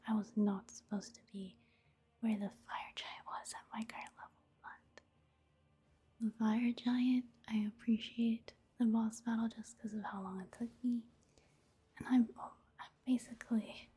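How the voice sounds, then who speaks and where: A woman speaking in a soft, breathy near-whisper, giving gentle, rambling ASMR commentary on a video game. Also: medium pitch. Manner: unhurried, rambling, gentle murmur. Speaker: ASMR gaming video host.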